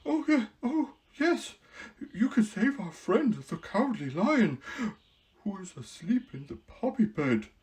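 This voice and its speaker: A friendly straw-stuffed scarecrow played by a woman speaks eagerly and reassuringly. The voice sits higher than a plain reading voice, with a storybook bounce. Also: big pitch swings, measured pace, darkish tone.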